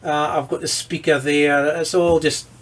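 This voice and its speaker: A man with a somewhat high voice gives a casual demonstration at his workbench. He talks informally, thinking aloud as he works through a repair. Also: little pitch movement, moderate pace. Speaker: presenter of a hobby electronics repair video. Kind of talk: casual hands-on demonstration commentary.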